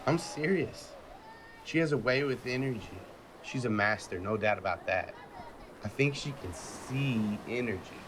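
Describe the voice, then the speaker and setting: A man's voice: mid-range voice, expressive, unhurried, background noise, lightly acted. An actor playing a frank, supportive man in a self-help group, casual and sincere in a naturalistic audio drama. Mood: gossipy. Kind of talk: naturalistic audio fiction acting.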